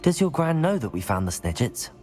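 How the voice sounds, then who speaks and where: A calm, polite student at a wizard school, played by a man. The voice is medium in pitch with a lively melody, inquisitive and friendly, in natural video game dialogue. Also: fairly quick, soft background music, natural voice.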